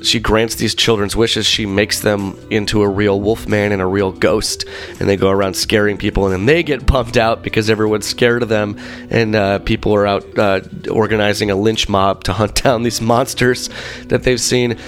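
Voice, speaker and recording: A man hosting a podcast in casual chat, talking with many fillers and a slightly rough voice, congested from being sick. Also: on the low side, moderate pace, soft background music. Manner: rambling, animated storyteller with many fillers. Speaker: podcast host.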